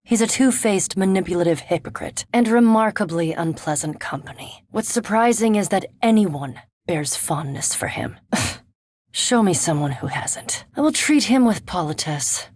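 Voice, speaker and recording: Video game vampire academic, played by a woman: centuries old, composed, curious, erudite; slightly husky female voice, recorded close and dry. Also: mid-range voice, expressive, a little mellow, no echo, lightly acted. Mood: dry, cool. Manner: erudite, measured, formal. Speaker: animancy researcher and ship companion in a fantasy video game. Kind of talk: video game character dialogue.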